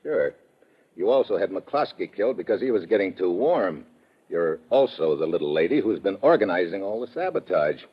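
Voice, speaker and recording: A man playing a tough, wisecracking undercover investigator in 1950s radio drama, husky, blunt and sardonic, on a dull old radio recording. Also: sits low, sing-song, normal speed, full character voice. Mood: hard-boiled accusation. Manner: hard-boiled, sardonic, blunt.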